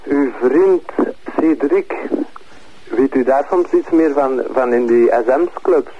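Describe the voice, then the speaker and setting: A man's voice: mid-range voice, takes it slowly. Flemish man questioning, muffled lo-fi sound. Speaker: questioner in an interrogation.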